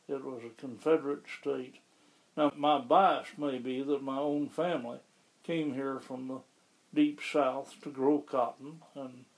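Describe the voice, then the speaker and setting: A man being interviewed about the town where he lives, explaining things in a measured, conversational way. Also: mid-range voice, poor audio. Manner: measured, explanatory, with occasional restarts. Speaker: interviewee, local resident.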